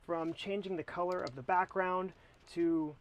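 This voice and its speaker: Higher-pitched American man giving a product review. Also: fairly even intonation, measured pace. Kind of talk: casual product review to camera.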